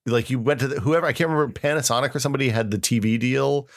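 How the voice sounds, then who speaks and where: A man's voice: medium pitch, rapid-fire, dry recording, clean studio sound. A middle-aged American man chatting casually as a podcast co-host, using informal, slangy phrasing. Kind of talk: casual tech nostalgia chat.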